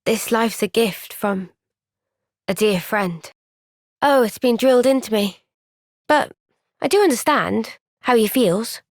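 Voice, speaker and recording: A woman playing an earnest, gentle young female soldier in a video game cutscene, speaking with measured, sincere resolve. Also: on the high side, no room sound, clean studio sound. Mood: thoughtful, earnest.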